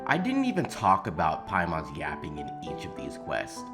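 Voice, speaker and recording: A man's voice: sits low, wildly swooping pitch, normal speed, creaky, over loud music. A man delivering opinionated video essay commentary on games in an emphatic, informal way. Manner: emphatic, informal, occasional swearing. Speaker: video game commentary creator.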